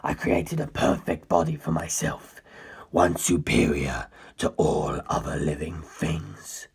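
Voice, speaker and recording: A performer voicing an ancient, arrogant male superhuman predator in a breathy whisper, speaking slowly and grimly in an audiobook-style story reading. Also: a bass voice, pitched below the performer's own voice, full character voice. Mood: cold, grim. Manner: slow, deliberate, grim.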